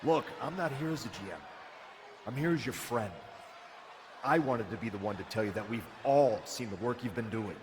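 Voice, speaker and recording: A man's voice: mid-range voice, normal speed, natural voice. Actor as firm male wrestling manager, noisy venue. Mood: supportive, earnest. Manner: earnest, persuasive. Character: firm, supportive male wrestling general manager and old friend. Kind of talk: video game cutscene acting. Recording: noisy venue with crowd behind.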